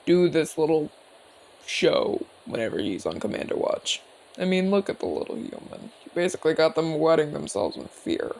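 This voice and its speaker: A man's voice: fairly high pitch, sing-song, normal speed, gravelly, darkish tone, a little hiss or hum. A man playing a seasoned, cocky but easygoing orc commander in an audio roleplay, talking in a casual, teasing, explanatory way. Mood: mildly exasperated. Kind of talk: ASMR audio roleplay acting.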